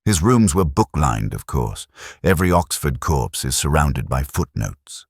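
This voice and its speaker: Really deep guy narrating a murder mystery, lively intonation, dry close sound, kinda weary and literary. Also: a little slow, husky, no room sound. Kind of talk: scripted first-person detective story narration.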